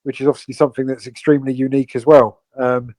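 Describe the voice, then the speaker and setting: A man's voice: medium pitch, flat delivery, fast talker, dark tone, no room sound. A man from the UK, co-owner of a crypto project, explaining it at length in an online Q&A with many fillers and restarts. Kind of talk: AMA guest explaining a project.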